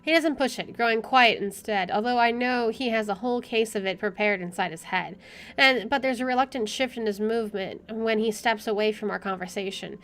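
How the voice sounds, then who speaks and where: A woman reading a visual novel aloud as a gamer, expressive and opinionated in her delivery. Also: a little high, a little fast. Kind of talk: reading game narration aloud with casual commentary.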